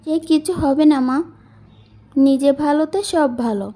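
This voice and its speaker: A young village girl who works as a maid, voiced by a woman in a cartoon moral tale. She is devoted, earnest and polite, with a high, mellow voice. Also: takes it slowly. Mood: firm, caring.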